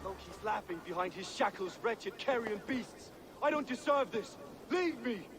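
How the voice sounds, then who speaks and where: Desperate, high-pitched cornered Viking. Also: male voice, expressive, normal speed, noisy background. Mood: anguished, defiant. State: distressed, straining. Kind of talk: dramatic video game acting.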